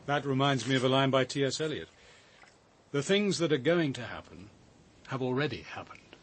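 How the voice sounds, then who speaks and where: An actor in a radio drama playing a thoughtful, reserved detective with deliberate, literary phrasing. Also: male voice, medium pitch, expressive, slight background noise, natural voice. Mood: reflective. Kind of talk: BBC radio drama dialogue.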